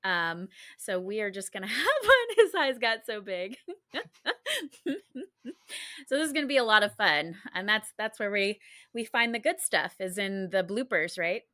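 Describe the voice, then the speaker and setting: A woman's voice: mid-range voice, very animated, normal speed, sharp tone, no echo. Casual, chatty woman podcast host, dry sound. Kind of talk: casual podcast introduction and interview chat.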